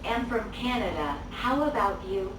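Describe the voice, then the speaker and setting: A woman playing a cheerful female student in a scripted English dialogue video, with even, textbook phrasing. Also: medium pitch, moderate pace, close to an everyday voice. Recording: roomy, distant, noisy playback.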